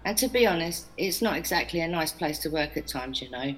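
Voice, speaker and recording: A measured, earnest English prison manager talks about staff and prisoners in an audio drama. Her voice sits fairly low and stays reasonable. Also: expressive, a bit bright, rough recording. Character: woman prison staff member, earnest, defensive.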